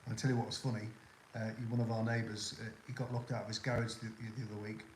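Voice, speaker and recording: Older man with soft northwest English accent. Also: on the low side, level tone, fast talker, reverberant, slight background noise. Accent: toned-down Northwest English (Scouse). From Liverpool area, England. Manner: rambling and chatty with many ums and restarts. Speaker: livestream host. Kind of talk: casual live chat, answering viewer questions.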